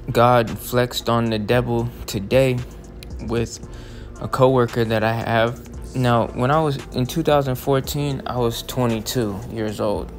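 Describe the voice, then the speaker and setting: Gravelly-voiced young man, rambling. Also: medium pitch. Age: 20s. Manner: meandering, repeats phrases, frequent restarts. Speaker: religious vlogger. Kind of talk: rambling monologue over still images. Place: voice-over, not in pictured scene.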